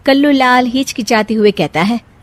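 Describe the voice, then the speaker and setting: A woman's voice: fairly high pitch, normal speed, no room sound. A woman narrating a scripted comic family story as a storyteller.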